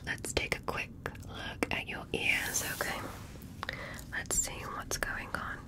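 A woman playing a polite, curious alien doctor in an ASMR medical roleplay, speaking gently and carefully in a breathy whisper with formal, reassuring phrasing. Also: slow, lightly acted. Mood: soothing, attentive. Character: polite, curious female alien doctor examining a human patient.

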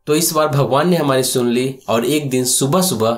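A man's voice: medium pitch, normal speed, faint music behind. A man in his thirties narrates his travel vlog with eager, storytelling energy, talking directly to the audience. His mid-range voice rings a little in an echoey room at home. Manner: enthusiastic storytelling, addresses viewers. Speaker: travel vlogger.

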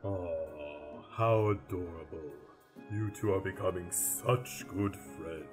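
Man playing very deep, grave Death. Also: over music. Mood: patient. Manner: formal, measured, deliberate. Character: stern, courteous Death, grave male reaper. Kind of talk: radio play acting.

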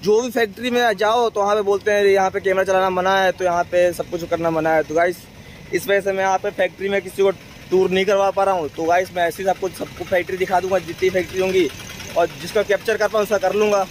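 A young man with a high voice talks casually to his vlog viewers. He is chatty and repeats himself, with clear street and vehicle noise behind him. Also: level tone, fast talker. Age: young adult. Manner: chatty, repetitive, addressing viewers as guys. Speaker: vlog host. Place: outdoors along industrial roadsides. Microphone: camera held at arm's length. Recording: noisy street with vehicle traffic.

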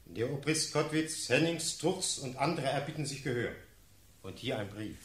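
An actor playing a dutiful servant in a classical verse radio drama, formal and respectful, in an echoey recording. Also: male voice, medium pitch, expressive, moderate pace, lightly acted. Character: dutiful servant announcing visitors to his lord. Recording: echoey room with faint noise.

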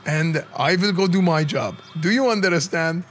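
A man's voice: fairly high pitch, moderate pace, fairly bright tone, slight background noise, close to an everyday voice. A man voicing a pompous, misogynistic Persian immigrant with a Persian accent, broken English and a bossy manner in a live game roleplay. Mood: indignant. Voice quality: broken English, stiff formal phrasing. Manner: bossy, indignant.

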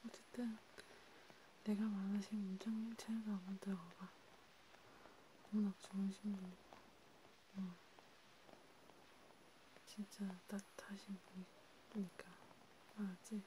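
Over clearly audible ambient noise, a woman whispers a soft ASMR roleplay. Her delivery is slow and gentle, with little movement in pitch. She leaves pauses and murmurs small acknowledgements to a single listener. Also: low-quality recording. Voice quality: whispery, breathy soft speech. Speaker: ASMR creator, host. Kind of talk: ASMR hand-massage roleplay, soft one-sided chat. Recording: clear steady background hiss, ambient noise.

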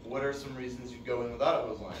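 A young man teaches a room of firefighters, talking loosely and conversationally, often pausing on "uh." He stands well back from the camera, so his voice carries the reverberation of the classroom. A faint hum of room noise sits underneath. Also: medium pitch. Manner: relaxed, conversational, many "uh" fillers. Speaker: fire service instructor. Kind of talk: classroom training presentation. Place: dim training room with projector screen. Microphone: far camera. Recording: echoey room, distant mic.

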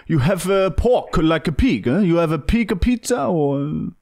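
A man voicing a shifty, suspicious foreign man who speaks halting, demanding broken English in a comedy prank call. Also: on the high side, lively intonation, gravelly, darkish tone. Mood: halting, insistent. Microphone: close boom-arm podcast microphone.

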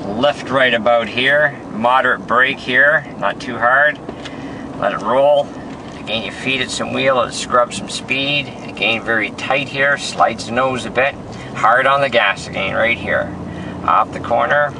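A man's voice: mid-range voice, unhurried, creaky. Man giving terse driving directions in-car. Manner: terse, clipped driving instructions. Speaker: race car driver. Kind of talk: lap walkthrough commentary. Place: inside a car on a racetrack. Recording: distant mic with road noise.

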